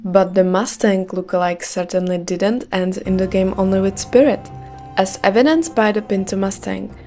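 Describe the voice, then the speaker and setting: Mellow-voiced Czech woman narrating. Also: mid-range voice. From Czech Republic. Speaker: gaming YouTuber. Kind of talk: scripted video narration.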